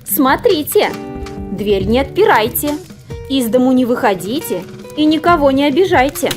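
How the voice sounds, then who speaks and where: Woman as stern, high-voiced mother goat. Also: big pitch swings, normal speed, faint music behind. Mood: stern, questioning. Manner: admonishing, firm. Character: stern mother goat warning her children.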